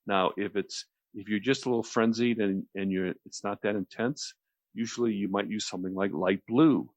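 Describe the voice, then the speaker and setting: A deep-voiced man talks on the radio in a close, dry sound. He explains things conversationally as a host would, rambling a little and often repeating a phrase before moving on. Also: steady tone, moderate pace, no echo. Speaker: radio show host explaining relaxation technique.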